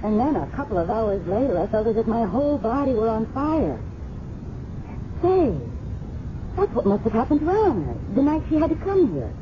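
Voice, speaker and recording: An actress playing a candid, rueful working woman in a 1970s radio drama, expressive and confessional. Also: on the high side, moderate pace. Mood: excited. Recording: dull, noisy old radio broadcast.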